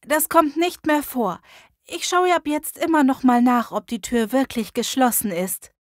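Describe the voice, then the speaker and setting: A woman playing an apologetic, polite neighbour in a clearly articulated language-lesson dialogue. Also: sits high, a little slow, no echo, clean studio sound. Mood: surprised, apologetic. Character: apologetic female neighbour, new to the building.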